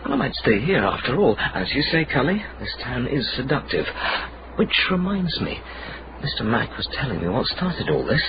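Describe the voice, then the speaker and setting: An actor playing a naive, soft-hearted young gentleman spy, exclaiming theatrically in a period radio drama. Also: medium pitch, sing-song, moderate pace. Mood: sheepish, animated. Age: young adult. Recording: muffled, very noisy vintage radio recording.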